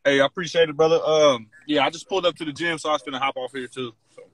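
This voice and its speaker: A man's voice: fairly high pitch, steady tone, rapid-fire, no echo, poor audio. A young man hosting a phone livestream from his car, laid-back and slangy. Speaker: livestream host. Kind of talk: casual chat. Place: parked car.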